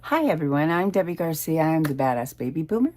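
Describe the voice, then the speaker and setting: A woman's voice: deep voice, very animated, fast talker, dark tone, a little hiss or hum. A woman in her sixties giving an upbeat self-introduction to camera in a video clip. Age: 60s. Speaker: guest YouTuber in a played clip.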